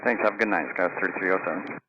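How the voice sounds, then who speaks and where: A man's voice: deep voice, rapid-fire. A man speaking as an airline pilot, giving clipped readbacks over an aviation radio. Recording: noisy, muffled cockpit radio transmission.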